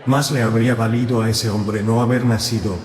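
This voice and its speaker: A man voicing a calm, grave male teacher in a dramatized gospel reading, speaking in a solemn, measured way. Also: medium pitch, reverberant, big-room sound, close to an everyday voice.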